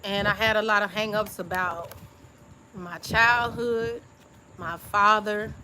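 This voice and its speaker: Bright-voiced woman chatting reflectively. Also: mid-range voice, takes it slowly, slight background noise. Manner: reflective, confiding, keeps checking in with "okay?"; relaxed informal speech. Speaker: YouTube creator. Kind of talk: casual live-stream chat.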